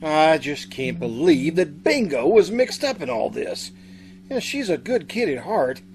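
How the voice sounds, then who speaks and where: A gushing, fretful bridegroom from an old-time radio comedy, played by a man. His voice is high and swings widely, with a faint noise behind it. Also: sing-song, measured pace. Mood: worried. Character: lovesick, fretful newlywed husband, gushing with endearments.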